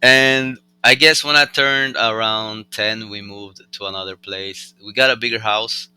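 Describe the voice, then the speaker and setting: A young man with a Norwegian accent, a rapper being interviewed, chatting in a relaxed, rambling way with lots of fillers. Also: fairly low pitch, measured pace, clean tone, faint music behind, rough recording. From Norway. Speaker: rapper guest. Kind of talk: casual conversational interview.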